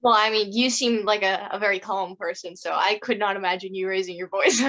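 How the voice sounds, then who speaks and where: A woman's voice: mid-range voice, fairly quick, a bit bright. A woman co-hosting a podcast, chatty and giggly as she asks a guest questions. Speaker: podcast co-host. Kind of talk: casual interview chat. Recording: dull lo-fi audio.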